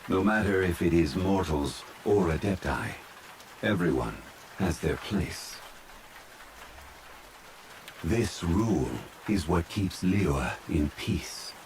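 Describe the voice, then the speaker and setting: A man playing a calm, ancient, scholarly immortal in human form, speaking formally and with measured courtesy in a soft ASMR roleplay. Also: low voice, slight background noise. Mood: calm, musing. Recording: steady rain sound laid behind voice. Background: rain falling.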